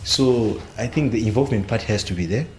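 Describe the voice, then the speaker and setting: A man from Zimbabwe, a software engineering student speaking on a panel in a measured way, searching for words with many fillers. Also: lowish voice, slight background noise. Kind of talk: panel discussion.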